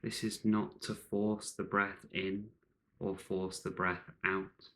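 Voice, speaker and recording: Calm guy doing guided meditation, really slow, kinda deep voice, lots of space between short phrases. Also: a little hiss or hum, rough recording. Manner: calm, measured, short phrases with long pauses.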